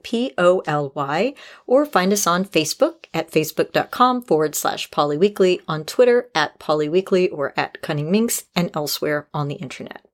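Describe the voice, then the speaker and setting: Woman podcast host, lowish pitch, chatty advice show delivery.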